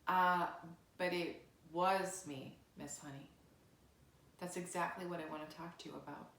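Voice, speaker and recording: A woman's voice: on the low side, fairly even intonation, a little slow, fairly bright tone. An earnest, wide-eyed little schoolgirl from a children's storybook, voiced by a woman reading aloud. She sounds anxious and pleading, with hesitant insistence, in a roomy, echoing space. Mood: confessing. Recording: roomy, echoey indoor sound.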